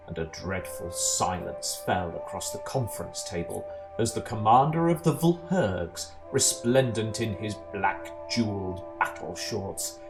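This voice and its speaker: A man playing a measured, deadpan narrator of absurd galactic tales in an amateur audio drama. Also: fairly low pitch, low-quality recording. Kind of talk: scripted comic sci-fi narration. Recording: music behind the voice.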